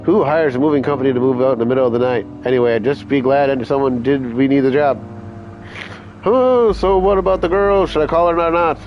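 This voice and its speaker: A man's voice: mid-range voice, steady tone, soft background music, pitched above the performer's own voice, natural voice. A man reading out a chatty night-shift mover. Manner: reading game dialogue aloud, brisk. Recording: dull lo-fi stream audio.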